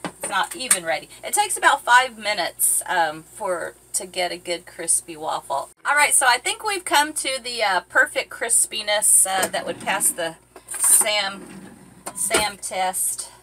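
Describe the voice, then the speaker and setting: A middle-aged woman talks her way through a cooking session in a cramped boat galley, loose and rambling, full of ums and little laughs. Her voice is bright and clear, her pace slow and unhurried. She is picked up by a camera set a few feet away. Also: medium pitch, expressive, a little hiss or hum, low-quality recording. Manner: chatty, rambling, many ums and uhs, laughs easily. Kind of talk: casual how-to chat. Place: small boat cabin with galley, indoors. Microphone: fixed camera a few feet away.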